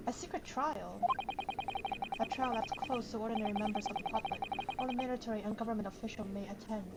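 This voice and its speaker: A woman's voice: fairly high pitch, fast talker, very noisy. A woman live-streaming a game from her bedroom, reading dialogue aloud and reacting in a dramatic, casual, exclaiming way as she talks to herself. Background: loud game music.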